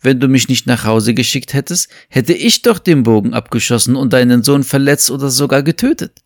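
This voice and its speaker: A man voicing a patient, loyal vizier in a fable, speaking in a measured, respectful way. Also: medium pitch, no room sound, studio quality, lightly acted. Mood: reasoning.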